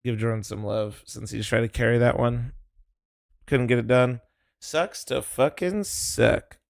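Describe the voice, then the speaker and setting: Gravelly, worn-out male streamer. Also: mid-range voice, measured pace, no room sound, studio quality. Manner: swears casually, laid-back, trash-talky. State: losing his voice after a long stream. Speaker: streamer playing with teammates. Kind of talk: casual gaming stream chat.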